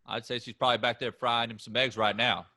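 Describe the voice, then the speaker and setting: A man reading Ray, a gruff Kentucky farmhand. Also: mid-range voice, little pitch movement, fairly quick, pushed and bright. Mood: teasing, bossy. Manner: drawling, needling, rough rural talk. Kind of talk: staged play reading.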